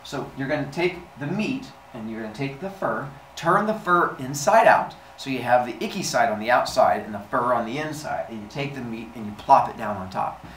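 A man's voice: medium pitch, expressive, fairly quick, few pauses, a bit bright, distant mic. Man playing an exasperated, clever, scheming titan. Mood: scheming, instructing. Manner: conspiratorial, instructing, impatient. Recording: echoey room with background hiss.

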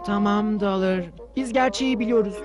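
A clever cartoon boy voiced by a man with a very high voice. He sounds calm, confident and matter-of-fact, over clearly audible music. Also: normal speed, close to an everyday voice. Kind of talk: dubbed cartoon acting.

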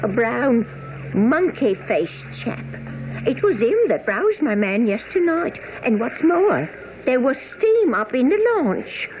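A deferential wife in a radio play, fretting and chatty. Her pitch is high and swings widely. The broadcast sounds thin and tinny. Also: sing-song, slow. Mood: worried, confiding. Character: worried working-class boatman's wife, deferential and chatty. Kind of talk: radio drama acting. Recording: tinny band-limited radio broadcast, faint hiss.

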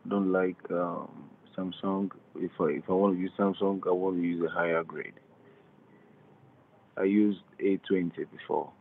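A man's voice: sits low, steady tone, a little slow, narrow, tinny audio. A man calling as a scammer, insistently pressing for phones and purchases. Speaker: scam caller.

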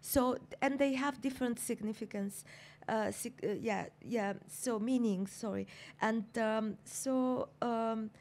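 Woman, middle-aged, mellow voice, halting, lots of fillers, handheld mic. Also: fairly high pitch. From Somalia. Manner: thoughtful, halting, many fillers and restarts. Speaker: writer on a panel. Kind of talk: reflective panel talk. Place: seated on a couch in a lecture room.

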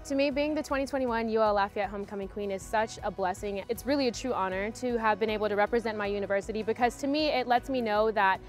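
A young woman, the homecoming queen, talking in a lively, enthusiastic way in an outdoor campus interview. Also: medium pitch, fast talker, hardly any pauses, soft background music. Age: young adult.

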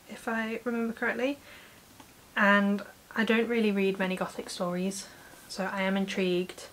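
A faint bed of hiss sits under a British woman's voice. She is in her late twenties and sits at a medium pitch. She talks informally to camera in a home room, like someone running a book channel. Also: a bit bright, low-quality recording. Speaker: book vlogger. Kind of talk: casual chat to camera. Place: small home room with bookshelves. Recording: clear background hiss.

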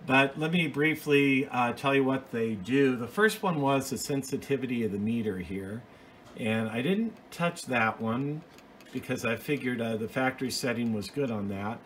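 A man's voice: medium pitch, normal speed, fairly bright tone, a little hiss or hum. A man giving an informal, hands-on explanation as a hobbyist video host, plain-spoken and methodical, recorded on a phone at home. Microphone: phone, off camera.

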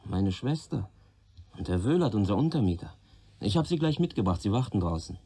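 An actor playing an earnest, uneasy young apprentice in a German radio play, explaining himself hesitantly and apologetically. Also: male voice, lowish voice, very animated, fairly quick, warm tone, husky. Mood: apologetic, earnest. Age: young adult.

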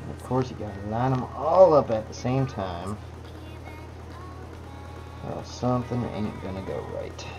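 Slow, low-voiced man building a kit. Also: rough recording. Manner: relaxed, talks to the parts as he works. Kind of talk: casual build tutorial. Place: indoor workbench. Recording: music bed under voice. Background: background music.

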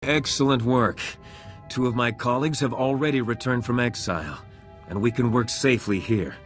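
An actor playing a composed, idealistic resistance politician in a video game, measured and formal. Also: male voice, medium pitch, lightly acted. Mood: composed, earnest. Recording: music playing behind the voice.

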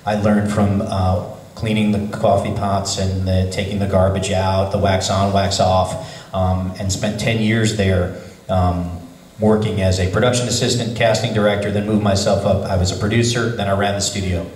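A man talking at a convention panel, telling his career story in a chatty, animated way. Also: deep voice, normal speed. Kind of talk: casual panel talk. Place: large convention hall. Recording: echoey hall, voice from far.

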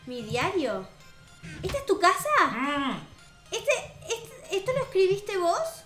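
Mujer joven rioplatense de voz muy aguda. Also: female voice, very high voice, very animated, takes it slowly, a bit bright. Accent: Rioplatense Spanish. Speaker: gaming video host. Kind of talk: improvised in-character gameplay roleplay. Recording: roomy echo, music behind. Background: background music.

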